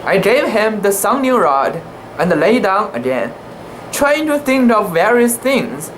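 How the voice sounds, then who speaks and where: An amateur narrator of unclear sex giving a dramatic reading of a literary sea story, careful and halting, with imperfect pronunciation. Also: medium pitch, a bit bright, slight background noise, rough recording.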